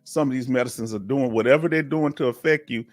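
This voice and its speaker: A man's voice: mid-range voice, fast talker. A man in his fifties hosting a casual live Q&A, talking folksy and loose, repeating himself and urging viewers to speak up. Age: 50s. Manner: folksy, repeats himself, coaxes the audience to join. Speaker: livestream host and veteran claims advisor.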